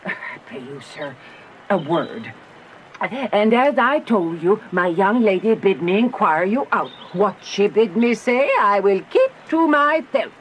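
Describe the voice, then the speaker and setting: A woman's voice: mid-range voice, animated, measured pace, a little hiss or hum, lightly acted, low-quality recording. A woman playing a fussy, indignant older serving woman in a Shakespearean radio drama, garrulous and full of huffy exclamations. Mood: confiding.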